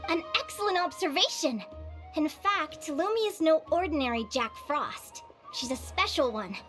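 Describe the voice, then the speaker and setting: A woman's voice: high voice, lively intonation, normal speed, a bit bright. A woman voicing an eager, curious young woman guild advisor in a video game, friendly and enthusiastic in manner. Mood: cheerful, explaining. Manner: bubbly, enthusiastic. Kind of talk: video game character dialogue. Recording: music behind the voice.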